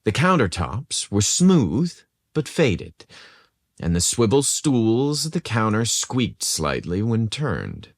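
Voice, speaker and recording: Slow, expressive male storyteller. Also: on the low side, dry recording, studio quality. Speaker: story narrator. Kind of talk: scripted fiction narration.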